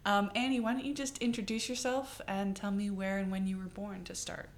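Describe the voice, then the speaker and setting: Woman interviewer, calm plain questions, oral history recording. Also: mid-range voice, a little fast.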